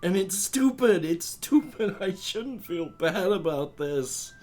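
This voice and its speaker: A man playing a hurt, needy person who is embarrassed by their own feelings, halting and pleading as he tells a story. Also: high voice, expressive, darkish tone, slight background noise, voice pushed up. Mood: tearful, pleading.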